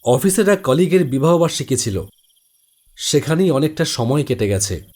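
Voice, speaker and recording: A man's voice: a little high, sing-song, normal speed, a little mellow. A man narrates a scripted first-person horror story.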